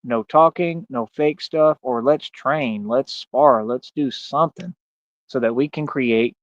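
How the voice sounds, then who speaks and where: A man's voice: medium pitch, measured pace, dry recording, lo-fi sound. A man in his thirties rambling casually on a livestream in a boastful, defiant way, with slangy talk full of "man" and "bro". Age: 30s. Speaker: streamer.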